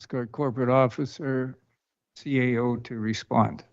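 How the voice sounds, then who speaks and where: A man's voice: mid-range voice, steady tone, normal speed, no echo. A man chairing a city council meeting as mayor, speaking firmly and telling a speaker to respect procedure. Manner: firm, admonishing. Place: council chamber. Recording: dull, muffled meeting audio.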